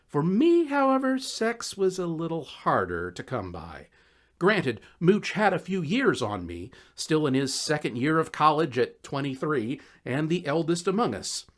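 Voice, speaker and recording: A man reading a scripted first-person story in a wry, conversational, expressive way. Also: fairly high pitch, unhurried. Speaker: audiobook narrator.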